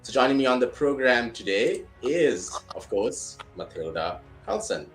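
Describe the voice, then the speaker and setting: A man's voice: medium pitch, very animated, faint music behind. Sri man hosting an interview. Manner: measured, many uh fillers. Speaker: talk show host.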